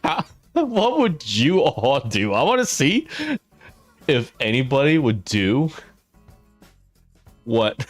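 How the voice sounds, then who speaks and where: A man telling stories on a live stream while he plays a game, chatting with his viewers and laughing often. His loud voice is held down for the mic. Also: mid-range voice, wildly swooping pitch, slow, pauses often, dry recording, faint music behind. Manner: chatty, laughs often, reads and answers chat. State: mouth watering after dental work. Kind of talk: casual live-stream storytelling while gaming.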